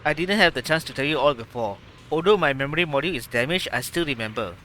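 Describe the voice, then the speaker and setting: A gentle bamboo storyteller. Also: male voice, on the high side, slight background noise. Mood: calm. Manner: calm, measured storytelling. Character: gentle male bamboo being, kind storyteller. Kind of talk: game cutscene dialogue. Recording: game audio with music under it. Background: game music.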